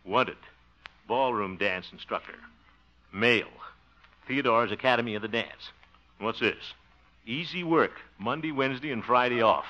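A man's voice: mid-range voice, measured pace, pauses often, bright, forceful tone. An actor playing a blustery, exasperated, good-hearted middle-aged man, grumbling and exclaiming in 1950s radio comedy style. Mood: skeptical, bossy. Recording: dull old radio recording.

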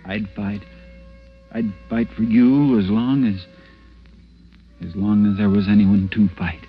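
Music swelling behind muffled old radio, smitten everyman hero, lowish voice, earnest, dramatic. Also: fairly even intonation, slow, husky. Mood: devoted. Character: ordinary timid man turned smitten adventurer, earnest. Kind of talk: 1940s-50s radio drama acting and first-person narration.

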